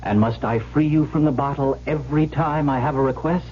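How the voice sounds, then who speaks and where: A man's voice: mid-range voice, a little fast, lightly acted. An actor playing a brash, carefree young heir who tells his own story in a radio drama, wry and breezy. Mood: wary, decisive. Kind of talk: 1970s radio drama acting with first-person narration. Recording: dull old radio recording, faint hum.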